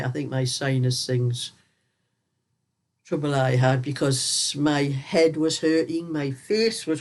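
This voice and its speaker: An older woman, croaky from a cold, chatting casually while knitting as host of her own vlog, rambling through stories and laughing often. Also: low voice, darkish tone.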